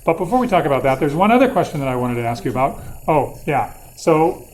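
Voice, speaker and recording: A man's voice: fairly high pitch, sing-song, quick pace, darkish tone, slight background noise. A man lecturing informally to a class, thinking aloud and posing questions with playful, wry asides. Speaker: university lecturer.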